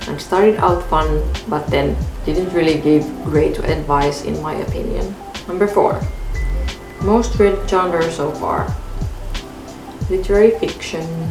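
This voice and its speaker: Calm Finnish woman vlogging about books. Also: low-pitched, reverberant, rough recording. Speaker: book vlogger. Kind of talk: reading a book tag to camera. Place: bedroom in front of a bookshelf, indoors.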